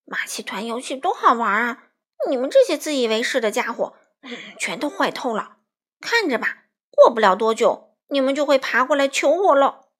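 A woman's voice: fairly high pitch, expressive, normal speed, fairly bright tone, slightly rough. A woman voicing a bossy, boastful, hot-tempered young male bird in a children's story, with an indignant, squabbling manner. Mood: sulky.

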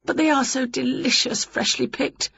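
A woman voicing an excitable, greedy, scatty woman from a children's storybook, gushing and exclaiming. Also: sits high, normal speed, vocal fry, breathy edge, dry recording, highs cut off, voice pushed up, exaggerated voice. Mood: gleeful, chatty.

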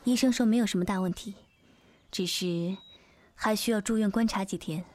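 A woman's voice: mid-range voice, normal speed, a little mellow, natural voice. A woman acting a courteous, composed and apologetic young woman in a Chinese audio drama. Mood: apologetic, soft.

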